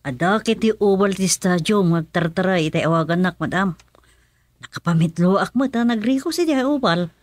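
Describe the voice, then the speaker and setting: A woman's voice: on the low side, normal speed, no echo, lightly acted. A woman with a Filipino accent acting a dutiful, deferential driver in a radio drama.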